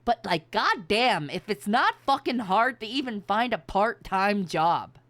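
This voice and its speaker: A woman's voice: mid-range voice, measured pace, no room sound. A middle-aged woman streamer chatting casually and emphatically, with plenty of swearing. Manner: animated, emphatic, swears freely. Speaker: VTuber streamer. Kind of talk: casual livestream chat.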